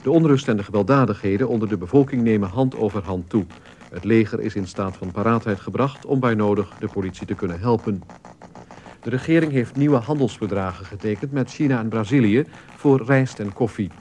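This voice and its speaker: Man acting a low-voiced formal newsreader. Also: fairly quick, darkish tone, slight background noise, close to an everyday voice. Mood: sober. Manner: formal, factual. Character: sober, formal male radio newsreader. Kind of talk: news bulletin in radio play.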